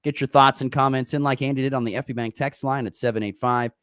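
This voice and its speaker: A man hosting a local morning sports talk radio show, a bit hoarse from a sore throat, upbeat and rambling with many fillers. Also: medium pitch, fairly even intonation, fast talker, fairly bright tone, no room sound, clean studio sound.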